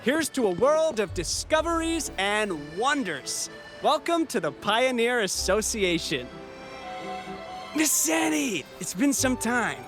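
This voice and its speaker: A man voicing a cheerful male guild receptionist who greets visitors slowly and warmly, in a sing-song way, over game music. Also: very high voice, big pitch swings, exaggerated voice. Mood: welcoming. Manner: slow, welcoming, sing-song. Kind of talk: game cutscene voice acting.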